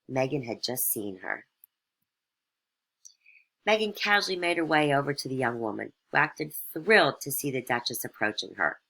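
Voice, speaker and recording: Casting: female storyteller for satirical fairytale narration, extremely low pitch, bright forceful tone. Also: animated, normal speed.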